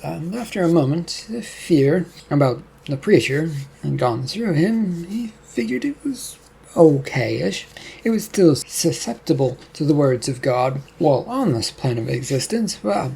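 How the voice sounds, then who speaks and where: A man's voice: fairly high pitch, creaky, dark tone, slightly rough, poor audio. A man reading scripted fiction as a narrator.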